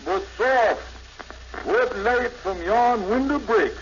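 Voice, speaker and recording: High-voiced blustery con man, old radio. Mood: theatrical. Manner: pompous, fast-talking, mangles grand words. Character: scheming blustery middle-aged male con man giving bogus lessons. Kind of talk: 1950s radio comedy acting. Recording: noisy, muffled 1950s radio recording.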